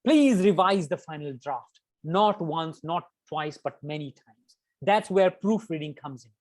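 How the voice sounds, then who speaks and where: A man's voice: on the high side, lively intonation, a little slow. A man giving an academic writing workshop, talking emphatically, repeating key words and adding conversational asides. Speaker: workshop lecturer. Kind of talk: interactive academic workshop lecture.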